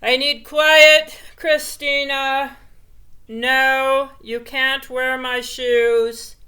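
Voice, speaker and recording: A woman voicing a grumpy, exasperated father in a children's storybook, scolding in a loud, drawn-out way. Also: high-pitched, flat delivery, pitched above the performer's own voice, heavily acted.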